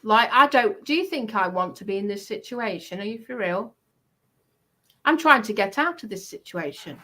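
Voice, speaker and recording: A woman's voice: mid-range voice, expressive, normal speed, bright, forceful tone. A woman chatting casually on a live stream, talking in a defensive, insistent way.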